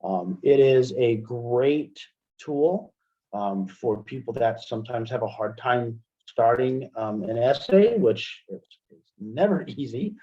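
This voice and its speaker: A middle-aged American man presenting an online software lesson step by step, in an informal, halting way, often checking in with "right?". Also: medium pitch. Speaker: webinar presenter and instructor. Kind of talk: software tutorial, explaining step by step. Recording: muffled, dull computer-call audio.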